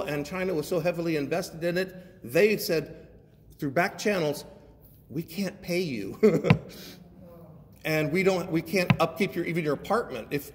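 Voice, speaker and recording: A man preaching a sermon as a guest pastor, reading scripture and explaining it in a conversational, folksy manner with frequent check-ins to his listeners. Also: fairly high pitch. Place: large echoey room, preaching to a congregation. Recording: echoey hall with faint background noise.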